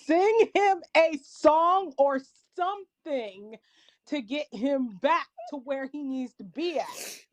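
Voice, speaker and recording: High-voiced, drily sarcastic woman. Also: slow pace, slight background noise. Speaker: panelist. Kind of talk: casual chat.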